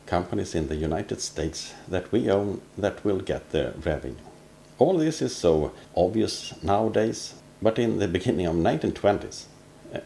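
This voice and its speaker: Male vlog narrator, finance consultant type, dark creaky voice, phrasing. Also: low voice, slight background noise. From Sweden. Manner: non-native English phrasing.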